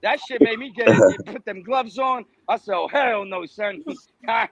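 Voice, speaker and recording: High pitched new guy telling a street story all fired up, crowd going in the background. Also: normal speed, dull audio. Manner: animated, swears freely, keeps saying "son". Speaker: livestream co-host.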